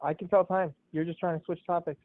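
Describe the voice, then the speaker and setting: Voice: mid-range voice, steady tone, fast talker, crowd noise. A caller arguing defensively and taunting the host, heard over call audio. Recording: tinny phone-like call audio.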